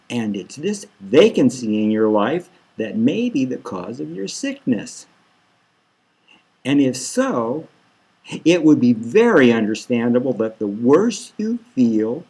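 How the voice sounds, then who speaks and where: A man's voice: mid-range voice, darkish tone, breathy edge, exaggerated voice, poor audio. A man reading a satirical novel as a smug male therapist, falsely soothing, patronising and insistent.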